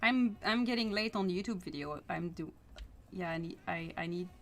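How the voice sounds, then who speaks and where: A woman artist chatting casually on a stream, bubbly and often laughing, trailing off quietly at times. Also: fairly low pitch, normal speed. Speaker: artist and YouTuber, co-host. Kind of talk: casual chat on a livestream.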